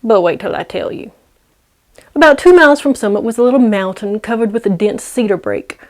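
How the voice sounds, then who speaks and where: A woman's voice: on the high side, expressive, a little fast. A woman storyteller narrating in a dramatic, scripted read-aloud style.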